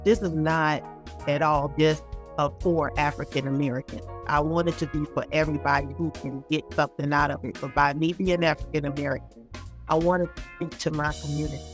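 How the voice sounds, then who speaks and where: A woman's voice: low-pitched, steady tone, fairly quick, soft background music. A woman hosting a podcast, introducing and questioning a guest in a conversational way. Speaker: podcast host.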